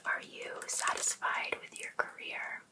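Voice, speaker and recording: Woman performing an obsessive female life coach, ASMR roleplay, whispered, very slow, sweetly encouraging, overbearing. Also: close to an everyday voice. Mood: attentive, encouraging. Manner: soft, unhurried, attentive questioning.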